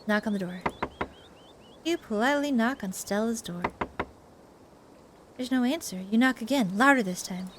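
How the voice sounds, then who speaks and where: A woman gives chatty, expressive commentary on a game playthrough and reads its text aloud in a casual way. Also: a little high, moderate pace, pauses often, noisy background. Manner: chatty, expressive reactions and asides. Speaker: video game streamer.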